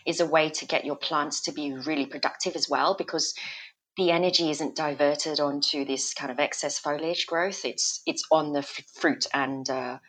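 A woman with a low, warm speaking voice talks as a podcast guest about her garden. She grew up in Australia after a Malaysian childhood, and she chats casually, stumbling over restarts and breaking into laughter. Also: fairly even intonation, normal speed, low-quality recording. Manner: chatty, hesitant, many restarts and laughs.

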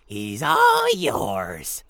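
A man's voice: on the low side, wildly swooping pitch, extremely slow, creaky, bright, forceful tone, no echo, clean studio sound, exaggerated voice. A man putting on a pompous, grand-talking housebug. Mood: boastful. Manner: grand, boastful. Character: pompous male housebug, glory-seeking showoff.

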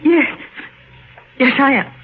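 An actress playing a frightened, haunted young woman in an old radio horror drama, speaking in a halting, distraught way. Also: high-pitched. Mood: shaken. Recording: muffled, noisy old radio recording.

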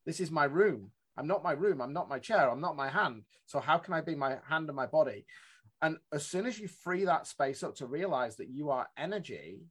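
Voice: medium pitch, lively intonation, moderate pace, slightly rough. An interview host who jumps in eagerly to add asides and quotes, apologizing for interrupting. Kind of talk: conversational interview.

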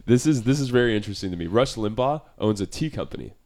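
A man's voice: lowish voice, lively intonation, fast talker, darkish tone. A man co-hosting a podcast, laid-back and rambling, laughing often in casual chat. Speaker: podcast co-host.

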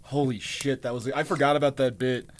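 A man's voice: medium pitch, fairly even intonation, a little fast. A man co-hosting a podcast in casual chat, laid-back and self-mocking, with a bit of swearing. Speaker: podcast co-host.

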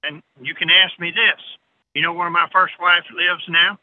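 Veteran trucker guest, male, 60s. Folksy, plainspoken storyteller, slightly high pitch, thin phone-call audio. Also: flat delivery, normal speed. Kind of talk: casual anecdotal storytelling. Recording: narrow tinny phone-line sound.

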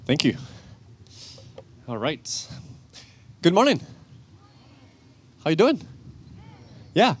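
Man, french accent, fairly high voice, very lively pitch, chatty preacher, outdoor service. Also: husky. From France. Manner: animated, chatty, laughs often, quick with self-corrections. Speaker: guest preacher, church elder and chemist. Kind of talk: lively outdoor sermon with audience questions. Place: outdoor church service with planes overhead.

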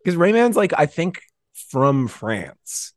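A man co-hosting a podcast in casual chat, with an animated, exclamatory and sometimes sweary way of talking. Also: on the high side, slow pace, no room sound, studio quality. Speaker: podcast co-host.

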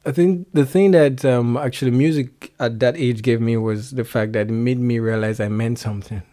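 A man's voice: mid-range voice, steady tone, normal speed, warm tone. A young Nigerian man with a Nigerian accent, a musician guest in a casual interview, laughing often and restarting his words.

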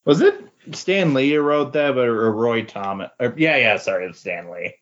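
A man chatting casually as a podcast host, halting and repeating words as he goes. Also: mid-range voice, animated. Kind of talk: casual chat, reacting to co-host. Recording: dull, lo-fi voice recording.

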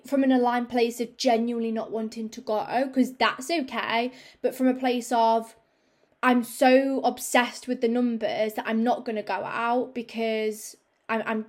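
A young woman hosting her own fitness podcast, chatty and upbeat with many fillers, a little croaky from a cold. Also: a little high, a little mellow. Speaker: podcast host and fitness coach. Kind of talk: casual solo podcast talk.

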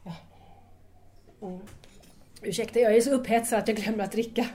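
Actress as eager young student, soft-toned, highish voice. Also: female voice, steady tone, close to an everyday voice. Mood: excited. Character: Bianca, eager, admiring young female philosophy student. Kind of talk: audio drama acting.

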